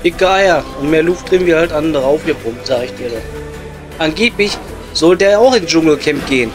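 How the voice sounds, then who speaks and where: A man's voice: fairly high pitch, animated, moderate pace, over music, lightly acted. A man playing a blunt, disdainful coal miner with a Ruhr accent in an improvised comedy audio play. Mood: scornful. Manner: blunt, crude, colloquial. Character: coarse, disdainful coal miner, hard-drinking pub regular.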